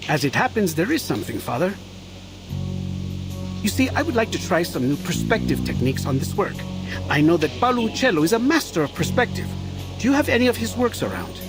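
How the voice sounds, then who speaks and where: An actor playing a passionate, eloquent Renaissance painter, charming and persuasive, in a visual novel game. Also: male voice, high voice, sing-song, brisk, low-quality recording. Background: music.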